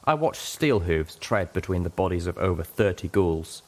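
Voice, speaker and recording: A man's voice: deep voice, lively intonation, moderate pace, a little hiss or hum. A man reading a scripted first-person story as an audiobook narrator.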